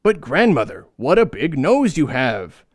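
A man's voice: a little high, very animated, unhurried, dry recording, studio quality. A man voicing a sweet, trusting little girl in a storybook reading, in a raised sing-song tone. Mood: innocent.

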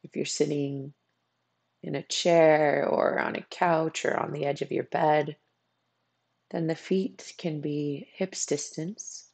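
A meditation coach guiding a practice in a soothing way, with unhurried phrases, long pauses and a husky, gravelly voice. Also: medium pitch, fairly even intonation, a little mellow, no echo. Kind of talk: guided meditation.

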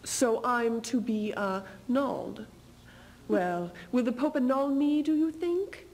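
A young woman playing a weary, proud, sharp-tongued queen in a dramatic stage reading. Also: on the high side, takes it slowly, poor audio. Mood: wounded, biting. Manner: dramatic, biting. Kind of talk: theatrical duo interpretation, stage reading. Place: indoor stage in front of curtain.

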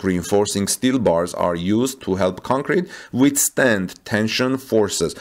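Man, very low voice, news commentary, halting delivery with many restarts.

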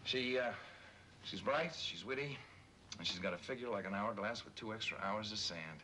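A man's voice: on the low side, normal speed, pushed and bright. An American man acting a bitter, sardonic, weary suburban husband of forty in a 1970s television drama. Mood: wry, weary. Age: forties. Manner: sarcastic, weary. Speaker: actor in a television film. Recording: noisy old TV film soundtrack.

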